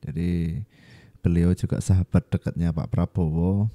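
A man's voice: sits low, fairly even intonation, normal speed, mellow, no room sound. A man in his thirties giving casual commentary as a reaction video host, hesitating as he searches for words. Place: small home studio indoors. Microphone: close boom-arm microphone, headphones.

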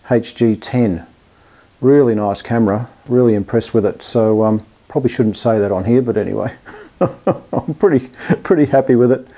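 A man's voice: mid-range voice, warm tone. A man in his fifties with an Australian accent giving a casual, unscripted hobbyist update in a hesitant, rambling, self-deprecating way. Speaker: amateur radio and television hobbyist presenting an update.

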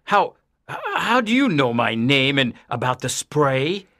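A woman's voice: a bass voice, sing-song, unhurried, voice pushed down, exaggerated voice. A woman voicing a secretive alien man in disguise, reading character dialogue from a story. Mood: startled, nervous. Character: disguised male alien, secretive, startled, from distant planet.

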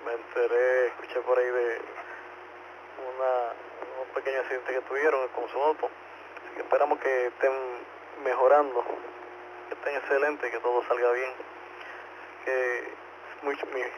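A man's voice: mid-range voice, level tone, normal speed, long pauses. Man on muffled, noisy ham radio. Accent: Puerto Rican Spanish. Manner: courteous. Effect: two-way radio transmission. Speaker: amateur radio net controller. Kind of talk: radio net check-in exchange. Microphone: two-way radio. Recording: muffled ham radio transmission with clear static.

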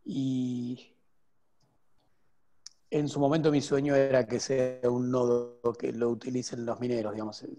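A man in his thirties, a guest developer, explaining at length on a video call from home with many restarts and pauses. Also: mid-range voice, little pitch movement, dark tone, faint music behind. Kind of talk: casual video call interview. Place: home room with window. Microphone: earphone headset on video call.

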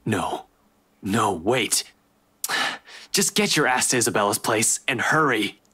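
A frustrated young man in a visual novel. His voice is husky and swings widely in pitch. He is curt and urgent, as if worried about a friend. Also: expressive, slow, pushed and bright, heavily acted. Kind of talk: visual novel game voice acting.

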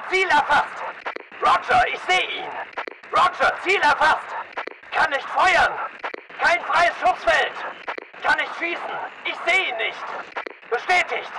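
An actor playing a hardened, disciplined and aggressive private military soldier in a video game, giving clipped, urgent battle commands and reports. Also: male voice, very high-pitched, takes it slowly, long pauses, heavily acted. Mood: urgent, shouting. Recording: distant-sounding, heavy noise behind.

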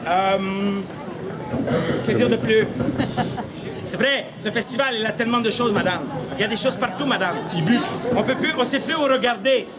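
Man, Québécois accent, very high-pitched voice, theatrical street-show comedian, playful teasing, outdoors, loud crowd. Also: moderate pace, pauses often. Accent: Québécois French. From Quebec, Canada. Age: middle-aged. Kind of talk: comic street show patter to an audience. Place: outdoor cobbled street among an audience. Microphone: distant camera in the crowd.

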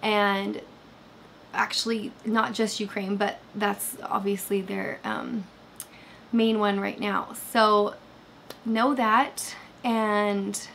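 A woman in her thirties, slightly hoarse, chatting casually with viewers on a livestream from her bed, with lots of fillers. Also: mid-range voice, little pitch movement, slow pace, a bit bright, slight background noise. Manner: chatty, reads chat aloud, many fillers. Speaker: livestream host. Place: bedroom, sitting in bed. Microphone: webcam framing.

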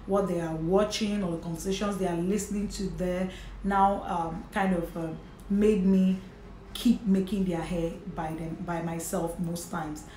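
A woman's voice: on the low side, darkish tone. A Nigerian woman in her thirties, talking to camera about motherhood in a chatty, earnest way with light laughs. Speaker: vlogger, mother. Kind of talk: casual talk to camera. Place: indoor home office with bookshelf and curtains. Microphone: camera in front at desk distance. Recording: echoey room, faint hiss.

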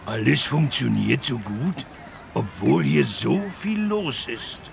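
A man voicing a big, good-natured, childlike talking elephant with a gravelly voice in a children's learning game. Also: mid-range voice, lively intonation, slight background noise, heavily acted. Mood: wondering, friendly. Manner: slow, wondering, naive.

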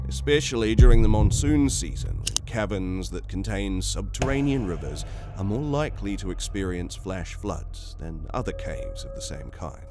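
A man narrates a scripted documentary in a fairly low voice. His reading is formal and wordy. Clear background noise and ambience sit under the voice-over.

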